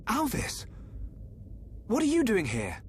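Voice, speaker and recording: A man's voice: very high-pitched, wildly swooping pitch, takes it slowly, slight background noise, full character voice. A man voicing an earnest, trusting young hero in a video game, speaking sincerely. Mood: anxious, surprised. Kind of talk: dramatic video game voice acting.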